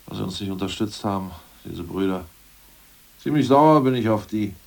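An actor playing an excitable, blustering ousted party official in a 1980s radio play, quick to take offense. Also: male voice, on the low side, gravelly. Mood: chummy. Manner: indignant, blustering.

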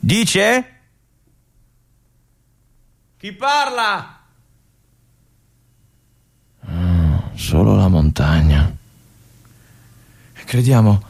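An actor playing an ailing, forsaken veteran lieutenant at a mountain fortress in a theatrical monologue, halting and repeating his words with brooding bitterness and yearning. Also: mid-range voice, big pitch swings, a little mellow, breathy edge, exaggerated voice. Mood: bleak. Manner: halting, repeating words, brooding, swinging from whispers to anguished cries. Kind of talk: theatrical monologue, literary stage drama acting.

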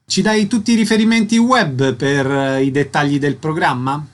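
Male radio interviewer, higher-set voice, presenting cultural listings and putting questions to guests. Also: normal speed. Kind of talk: radio interview, announcing event details.

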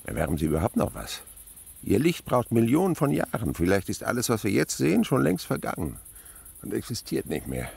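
An actor playing a passionate, wry French insect researcher in a German radio play, speaking in a lyrical, musing way. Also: male voice, mid-range voice, huge pitch swings, brisk, gravelly, a little mellow, slightly rough, dry recording, heavily acted. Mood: tender, musing.

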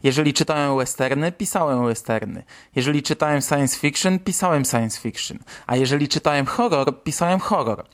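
A man's voice: fairly high pitch, normal speed, hardly any pauses. A man hosting and reading scripted narration. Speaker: host.

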